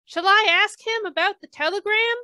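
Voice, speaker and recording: A woman's voice: very high voice, moderate pace, pushed and bright, no room sound. A woman playing a polite, efficient young hospital switchboard operator in an old-time radio drama. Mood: polite, businesslike.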